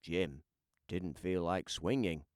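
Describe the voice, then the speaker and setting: A man narrating a children's picture book as an animated bedtime read-aloud. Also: low-pitched, slow pace, clean tone, no room sound, clean studio sound. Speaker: storybook narrator.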